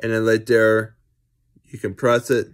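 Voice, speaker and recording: A young adult man giving a casual, unscripted gadget demonstration, halting and often repeating himself. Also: lowish voice, monotone, clean tone. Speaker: amateur vlogger showing gadgets. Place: small furnished bedroom indoors. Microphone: phone or camera at arm's length.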